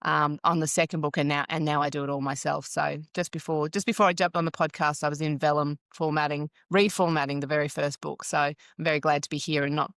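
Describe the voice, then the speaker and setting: Brief: woman, 50s, from Wales, smooth-voiced podcast guest, chatty storyteller, dry close sound. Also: sits low, flat delivery, fast, few pauses, fairly bright tone, dry recording. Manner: chatty, storytelling, frequent ums. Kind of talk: casual interview chat.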